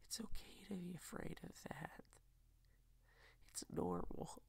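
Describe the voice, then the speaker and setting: A man whispering softly in a reassuring ASMR roleplay, close to the mic, with hesitant pauses. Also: sits high, huge pitch swings. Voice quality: breathy whisper.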